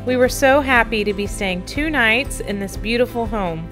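A woman's voice: on the high side, moderate pace. A woman giving scripted voice-over narration for a travel vlog. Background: music.

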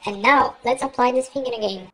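A man's voice: a little fast, a bit bright, low-quality recording. A young man voicing a little girl through a real-time voice changer, giving casual game commentary. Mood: chirpy, curious. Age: young adult. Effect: real-time pitch-raising voice changer.

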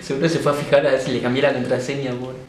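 A man's voice: mid-range voice, level tone, rapid-fire. A young man with a Rioplatense Argentine accent chatting casually and in slang, as if with a close friend. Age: young adult. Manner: slangy, informal. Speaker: vlogger making a prank call. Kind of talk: casual chat with a friend on the phone. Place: small living room, indoors. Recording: echoey room with light noise.

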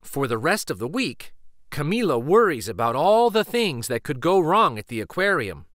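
A man reading a children's story aloud in an expressive, deliberate way. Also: fairly high pitch, dry recording, clean studio sound. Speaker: storybook reader.

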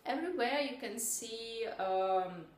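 A woman's voice: fairly high pitch, lively intonation, slow pace, a little hiss or hum. A young woman with a Russian accent, a native Russian speaker, talking casually to camera with many fillers and restarts. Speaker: vlogger. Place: small indoor room in front of a bamboo-print wall. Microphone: camera at a short distance. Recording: echoey room.

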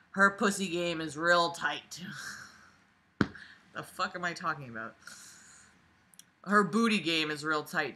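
A woman's voice: on the low side, bright, forceful tone. A woman in her twenties reading aloud and breaking off for sarcastic, exasperated, profane commentary. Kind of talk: mocking read-aloud with casual profane commentary. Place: small bedroom, lying on a bed indoors.

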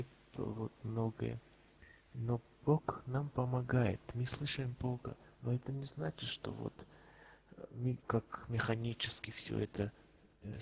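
Gravelly Armenian man, halting speech. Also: medium pitch, slight background noise, rough recording. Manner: halting, many fillers and restarts. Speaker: chat participant. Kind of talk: casual religious discussion. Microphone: walkie-talkie voice chat app.

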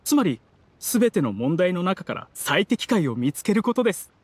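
An actor playing a polite, earnest young candidate in a Japanese video game, speaking formally and articulately. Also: male voice, sits high, very animated, normal speed, a little mellow, breathy edge, dry recording, heavily acted.

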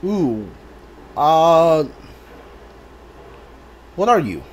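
A video game player giving casual let's play commentary, thinking aloud in a halting way. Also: mid-range voice, very animated, slight background noise. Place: indoors, voice-over on gameplay. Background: video game music.

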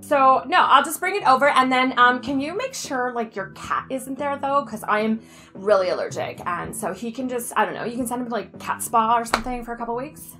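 A woman's voice: fairly high pitch, fairly quick, over music, natural voice. A woman in middle age playing a pushy, oblivious, self-absorbed best friend in an improvised soap, rambling on insistently. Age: middle-aged. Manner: rambling, breezily insistent, valley-style filler talk. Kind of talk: improvised soap-opera comedy over a video call.

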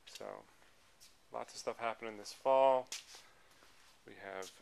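A man's voice: medium pitch, takes it slowly, a little mellow. An American man giving a casual, off-the-cuff walk-through as an auction presenter, listing items in a rambling way as he walks, recorded on a handheld camera. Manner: rambling item-by-item listing while walking. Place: large indoor auction hall full of tables. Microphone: handheld camera, held by speaker behind it. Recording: handheld camera audio with clear background noise.

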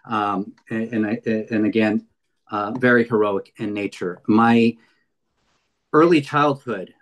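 A man's voice: fairly low pitch, takes it slowly. A man in his fifties from California's San Fernando Valley, giving a heartfelt webinar talk over a video call with many fillers and restarts. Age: 50s. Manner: earnest, frequent fillers and restarts. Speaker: guest speaker. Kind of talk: webinar presentation.

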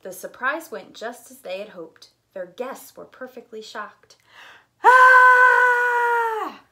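A middle-aged woman reading a picture book aloud as a preschool teacher, deliberate and expressive. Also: mid-range voice. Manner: slow, deliberate, animated storyteller delivery. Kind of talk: expressive storytime read-aloud. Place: small indoor room with bookshelf.

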